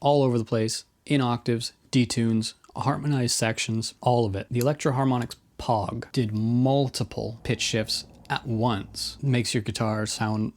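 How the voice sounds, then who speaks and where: Man, medium pitch, casual explainer, video host, informal, opinionated, wryly self-deprecating. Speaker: host of a guitar effects video.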